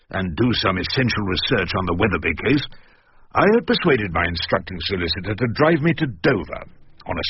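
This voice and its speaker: An older man with a British accent, acting a defiant, wry old barrister in a radio drama with eloquent, pompous delivery. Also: medium pitch. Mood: wry narrating. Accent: British English. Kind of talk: radio drama acting and first-person narration. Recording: dull radio recording with background noise.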